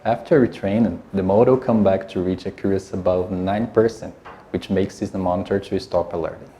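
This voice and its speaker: A man's voice: sits low, steady tone, a little fast, mellow, slight background noise. A male developer narrating a screencast of his project, in careful, slightly non-native English.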